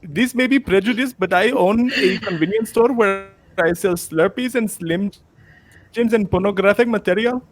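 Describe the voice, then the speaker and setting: A man's voice: high voice, normal speed, pitched above the performer's own voice, close to an everyday voice, poor audio. A man putting on a fussy shopkeeper with an Indian accent. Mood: deadpan. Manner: exaggerated, mocking put-on accent. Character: male convenience store owner, gruff and fussy.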